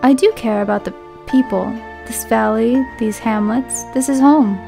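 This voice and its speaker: A woman's voice: a little high, normal speed, gravelly, warm tone, soft background music. A woman reading as an earnest young nobleman. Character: young man, gentle noble suitor, earnest.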